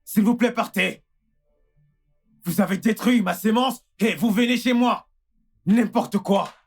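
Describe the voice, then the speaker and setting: A man playing an indignant husband who is quick to anger and stammers and repeats himself, in dubbed film dialogue. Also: medium pitch, fairly even intonation, slightly rough, faint music behind, close to an everyday voice. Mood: angry, indignant.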